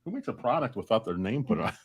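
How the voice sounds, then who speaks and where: A man's voice: medium pitch, sing-song, quick pace, slightly rough, no echo, lo-fi sound. A man hosting a casual live-stream chat, talking informally. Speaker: podcast host.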